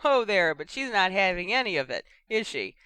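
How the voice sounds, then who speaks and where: A woman voicing a brash young male sports announcer with excitable, cheeky play-by-play delivery. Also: mid-range voice, moderate pace, clear, resonant tone, dry recording, pitched above the performer's own voice. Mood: excited. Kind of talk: fan fiction audiobook reading.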